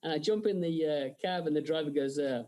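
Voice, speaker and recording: Man from England, fairly high voice, casual storytelling, animated anecdotes. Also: brisk. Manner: animated storyteller who slips into Scottish impressions. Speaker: guest, special effects artist.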